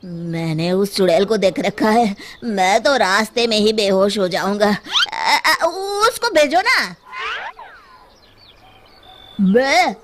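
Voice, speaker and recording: A woman's voice: mid-range voice, animated, takes it slowly, noisy background. A woman voicing a cowardly, flustered village man who stammers and whines in a children's cartoon. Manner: stammering, whiny protest. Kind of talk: comic children's cartoon acting.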